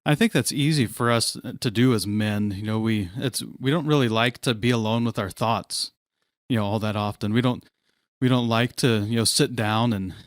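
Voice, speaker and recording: A man's voice: on the low side, moderate pace, no room sound, clean studio sound. A man hosting a podcast interview, asking long, searching questions with plenty of fillers and restarts. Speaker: podcast host. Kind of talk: casual interview.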